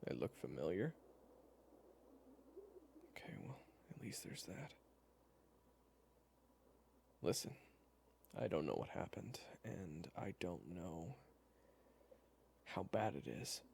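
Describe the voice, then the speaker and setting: A man playing a worried, exasperated friend in an audio roleplay, speaking haltingly and naturalistically. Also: low-pitched, sing-song, creaky, darkish tone, a little hiss or hum, heavily acted. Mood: somber, gentle. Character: worried, exasperated male friend of an amnesiac, gently explaining. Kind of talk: audio roleplay acting, one-sided dialogue.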